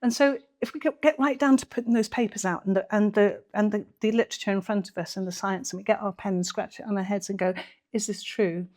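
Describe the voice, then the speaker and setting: A woman's voice: mid-range voice, steady tone, fast talker, darkish tone. A woman giving conversational reaction commentary to camera in an earnest, emphatic, rhetorical way.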